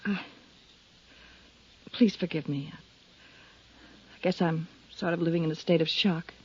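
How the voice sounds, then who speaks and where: An actress playing a guarded, touchy widow in a 1950s radio drama, with a hesitant, defensive, subdued delivery. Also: female voice, on the low side, steady tone, a little slow, a little mellow, slightly rough. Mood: apologetic, shaken. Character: guarded, touchy young widow, former chorus entertainer. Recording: muffled 1950s radio recording.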